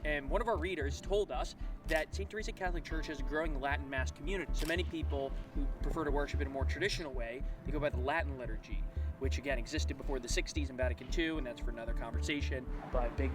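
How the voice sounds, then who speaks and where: A man hosting a travel documentary, narrating brisk facts and talking with people he meets. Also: a little high. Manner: brisk, informative. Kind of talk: documentary narration and street interviews. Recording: narration over background music.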